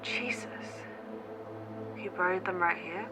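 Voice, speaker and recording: A woman's voice: fairly low pitch, slow, over music. A woman playing a sceptical college student podcaster in an audio drama, speaking in a dry, sarcastic, conversational way. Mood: sober, curt. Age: young adult. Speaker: college true crime podcaster.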